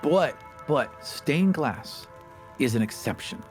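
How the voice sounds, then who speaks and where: A man playing a tabletop game, commenting for his character in a measured, slightly wry tone. His pitch sits in the middle range. Faint music runs in the background. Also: expressive. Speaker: player.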